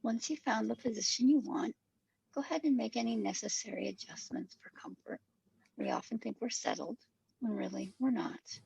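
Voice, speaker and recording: Female meditation narrator, heavy creak and very breathy rasp, soothing, measured, slow instruction. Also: a little high, dark tone, no room sound, dull audio. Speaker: meditation guide.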